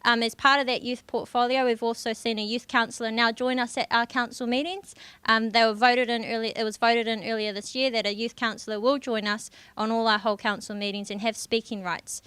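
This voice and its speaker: A woman's voice: on the high side, flat delivery, brisk, hardly any pauses, a bit bright, clear, resonant tone, no echo. A young woman, speaking earnestly as a councillor on a conference panel. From New Zealand. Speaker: district councillor with youth portfolio. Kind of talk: panel discussion.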